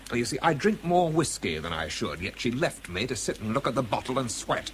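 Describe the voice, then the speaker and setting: A man's voice: medium pitch, sing-song, brisk, fairly bright tone, slight background noise. An English actor playing a world-weary, bitter man who struggles with drink, narrating and speaking tersely in a radio drama. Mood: bitter, sardonic. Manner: dry, terse, understated.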